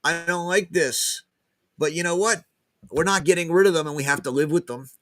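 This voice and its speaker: A man, a guest professor, talking casually in an interview, pausing and repeating words as he thinks aloud. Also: on the high side, fairly bright tone, slight background noise. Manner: hesitant, many restarts and repeated words.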